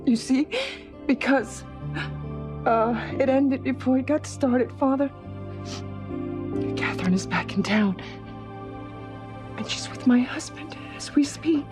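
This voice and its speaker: A woman acting in a soap opera as a troubled, halting wife confiding her heartache. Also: sits high. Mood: heavy-hearted. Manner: halting, sorrowful. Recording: music under the voice.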